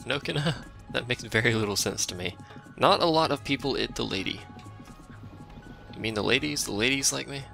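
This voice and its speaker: A man with a deep voice giving casual, rambling commentary while he plays a game and chats with his stream viewers, offhand and self-deprecating. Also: a little fast, gravelly, pushed and bright, soft background music. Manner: chats with a live stream chat. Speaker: let's play streamer.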